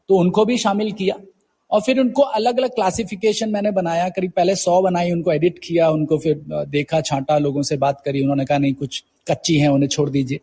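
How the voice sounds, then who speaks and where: A middle-aged man from India with a slightly high, husky voice. He speaks as an interview guest, reflective and conversational, slipping English words into his sentences as he tells anecdotes. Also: warm tone. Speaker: guest poet and professor.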